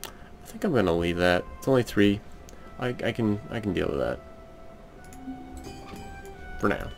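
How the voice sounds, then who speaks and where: A man with a deep, gravelly, raspy voice talks his way through a strategy game at a slow, unhurried pace. He thinks aloud with plenty of ums and trailing phrases. Quiet game music sits underneath. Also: sing-song. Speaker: let's play gamer. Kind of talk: casual gameplay commentary. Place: home room.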